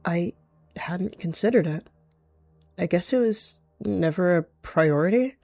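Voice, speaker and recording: A roleplayer voicing a guarded, scheming rogue cat who feigns friendliness, in measured speech. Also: mid-range voice, vocal fry, darkish tone, no echo. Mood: pensive. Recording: music behind the voice.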